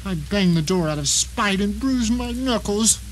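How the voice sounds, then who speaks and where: A man's voice: sits high, expressive, unhurried. A man playing a bitter, paranoid blind novelist who narrates his nightmare in a wry, grumbling, theatrical way for an audio drama. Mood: confused, irritated. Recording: noticeable rain and ambient noise behind.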